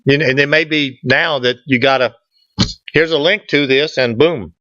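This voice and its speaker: A man's voice: mid-range voice, moderate pace, pushed and bright, no echo. Man, club presenter, informal slide talk, folksy joking delivery, dry muffled recording. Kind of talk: informal slide presentation with audience back-and-forth. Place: meeting room, standing at a lectern indoors. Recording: dull, lo-fi room recording.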